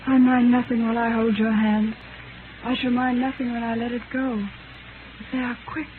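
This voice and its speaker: Woman acting a tiny scared brave seamstress girl, high pleading voice, crackly old 1930s radio drama. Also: little pitch movement, moderate pace, lightly acted. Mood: frightened, trusting. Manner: plaintive, earnest, simple. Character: poor, little, frail young seamstress, timid yet brave. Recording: noisy, tinny 1930s radio recording.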